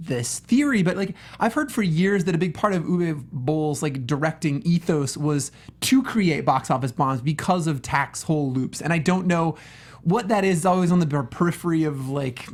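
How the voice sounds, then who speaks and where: A man co-hosting a casual film review, rambling sarcastically with animated asides. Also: fairly high pitch, normal speed. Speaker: co-host.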